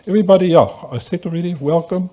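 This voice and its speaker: A man's voice: high-pitched, fast, dark tone. Afrikaans-accented man chairing a conference. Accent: South African Afrikaans. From South Africa. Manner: repetitive, switches between Afrikaans and English. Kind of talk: formal welcome address. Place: conference auditorium.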